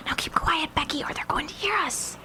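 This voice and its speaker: A woman's voice: sits high, a little fast, pushed and bright, whispered. A woman in a radio drama playing a bossy, protective older girl who speaks assertively and urgently. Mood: determined, hushed. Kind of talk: live radio drama acting. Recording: noisy live radio broadcast, background sound effects.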